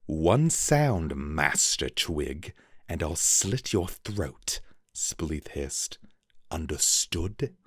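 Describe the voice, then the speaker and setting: Man doing a cold-blooded mutineer, icy and hissing, lively pitch, dry close sound. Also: measured pace, dry recording, full character voice. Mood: menacing whisper. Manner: icily calm, hissing threats, then screaming denials. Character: sly cold male villain, spectacled mutineer.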